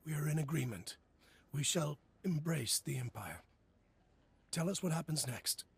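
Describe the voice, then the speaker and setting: An actor playing an earnest, resigned family man in a video game, with a very breathy, husky voice. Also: fairly high pitch, lively intonation, unhurried, full character voice. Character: earnest, pleading husband and father, resigned nobleman. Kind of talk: video game dialogue acting.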